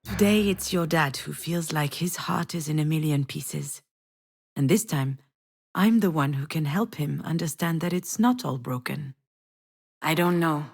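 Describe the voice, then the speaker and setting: A woman's voice: low-pitched, measured pace, gravelly, breathy edge, heavily acted. An actress playing a vulnerable, wry, grieving woman writer in a narrative video game, reflective and confiding in a naturalistic style. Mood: tender, reflective.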